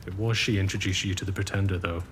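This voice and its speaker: A man's voice: steady tone, quick pace, fairly bright tone. A man playing the earl's loyal, blunt friend, plain-spoken and direct. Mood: stern. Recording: long hall reverb, faint low rumble.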